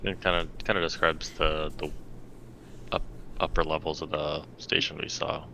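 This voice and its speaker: A man playing a tabletop roleplaying game, improvising dialogue casually with halting, hesitant phrasing. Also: rumbling low, level tone, pushed and bright, poor audio. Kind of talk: casual in-character improvised talk. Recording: clear background noise.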